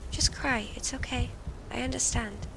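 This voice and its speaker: A woman's voice: a little high, steady tone, moderate pace, gravelly, fairly bright tone, low-quality recording. A woman playing an earnest, wounded, devoted boy in an audio drama, speaking imploringly and intimately. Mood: gentle, resolute. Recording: faint background noise and ambience.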